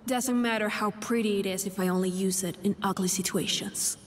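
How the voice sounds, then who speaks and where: A woman's voice: mid-range voice, steady tone, a little fast, close to an everyday voice. An actress playing a guarded, brooding, blunt young warrior woman in a video game. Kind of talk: video game character dialogue. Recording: faint game ambience noise.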